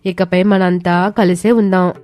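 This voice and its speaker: A woman's voice: on the low side, little pitch movement, measured pace, mellow, natural voice. A woman voicing a spirited young village daughter-in-law in a moral story, earnest and emphatic. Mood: remorseful. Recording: music behind the voice.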